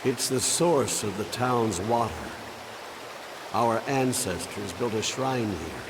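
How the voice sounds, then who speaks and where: An actor playing a sober, plain-spoken townsman in a video game, measured and earnest. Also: on the low side, long reverb tail, noisy background. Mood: resolute, solemn. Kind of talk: video game cutscene acting.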